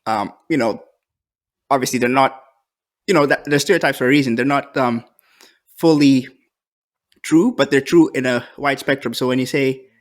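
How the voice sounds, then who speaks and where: A man co-hosting a podcast in casual chat, relaxed and thinking aloud with many fillers. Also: medium pitch, normal speed, pauses often. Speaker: podcast co-host.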